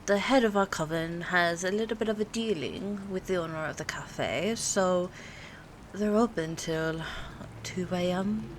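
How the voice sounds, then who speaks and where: A woman acting an aloof, teasing old vampire in an ASMR roleplay, speaking softly and formally with hesitant pauses, often near a whisper. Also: on the low side, close to an everyday voice. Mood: calm, explaining. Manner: formal, hesitant, haughty phrasing with drawn-out pauses. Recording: clear background ambience noise. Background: rain, city ambience, soft music.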